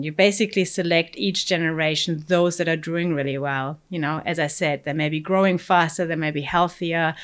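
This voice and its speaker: A woman's voice: on the low side, clean tone. A middle-aged woman from Germany, a fish breeding scientist answering interview questions with many fillers and asides. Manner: thoughtful, explains with many fillers and "you know".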